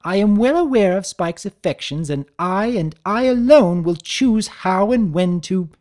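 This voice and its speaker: A woman's voice: medium pitch, animated, a little slow, darkish tone, voice pushed up, natural voice. A woman voicing a prim, theatrical female unicorn seamstress in a dramatic story reading, speaking in a refined, emphatic manner. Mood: indignant.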